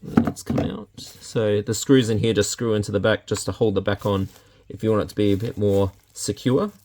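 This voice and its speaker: A low-pitched Australian man talks through a product demonstration casually, thinking aloud in a laid-back, unscripted way. Clear background noise and small handling clicks sit behind his voice. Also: fairly even intonation, moderate pace. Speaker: product reviewer. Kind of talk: casual unboxing and demonstration talk.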